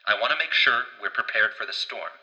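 An actor playing a gruff, exasperated boss of a watchtower crew in an audio drama, curt and sarcastic. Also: male voice, medium pitch, animated, quick pace, pushed and bright, highs cut off. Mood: commanding.

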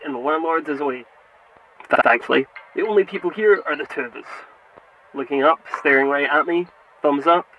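A man voicing a lonely, attentive lobby camera in an audio drama, describing what he sees in measured, halting phrases. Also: a little high, muffled recording, lightly acted. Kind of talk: scripted audio drama narration. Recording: noisy radio-like sound.

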